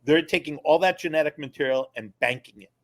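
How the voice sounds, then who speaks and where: Animated man explaining as a podcast guest. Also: on the high side, brisk. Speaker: guest, former government official and attorney. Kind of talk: casual interview conversation.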